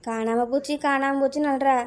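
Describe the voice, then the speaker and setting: A woman's voice: high-pitched, fairly even intonation, brisk, lightly acted. A woman playing a spiteful, gossipy village neighbour in a comic cartoon, carping in a southern Tamil Nadu accent. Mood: spiteful gossip.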